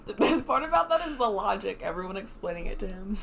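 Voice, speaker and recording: A woman's voice: mid-range voice, big pitch swings, quick pace, vocal fry, a bit bright. A woman from Wisconsin co-hosting a livestream, chatty and giggly, with lots of likes. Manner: giggly, chatty, uses like a lot. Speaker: stream co-host. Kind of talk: casual chat.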